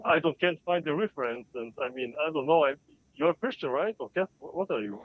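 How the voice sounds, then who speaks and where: A man with an Albanian accent calling in to a live show, speaking casually in halting, unsure phrases over band-limited call audio. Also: fairly high pitch, expressive, no room sound, narrow, tinny audio. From Balkans, Albanian. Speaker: caller.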